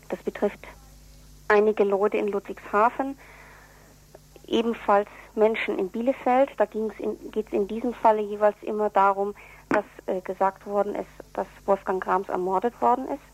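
A woman being interviewed on radio, explaining things in an earnest, deliberate way. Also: mid-range voice, steady tone, low-quality recording. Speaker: interviewee, activist for prisoners' relatives newsletter.

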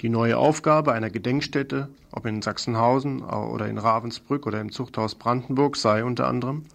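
Guy reading scripted radio news, kinda gravelly creaky voice, medium pitch. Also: fairly quick.

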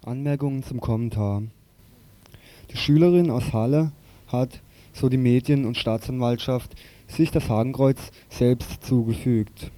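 A man's voice: mid-range voice, unhurried, dark tone. A man reading a scripted political commentary on the radio in a formal way, with occasional slips.

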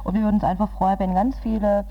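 A woman community organiser talks informally as a radio interviewee. Her pitch is average for a woman. The sound is a little dull and lo-fi. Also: little pitch movement, quick pace.

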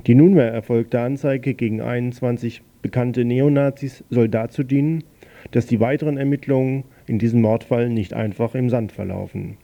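A man reads a radio news bulletin with a rough, gravelly voice. His tone is very dark and mellow. He delivers it plainly, stumbling and correcting himself now and then. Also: mid-range voice, steady tone. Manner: plain, slightly halting reading with self-corrections. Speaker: news reader on a local radio station.

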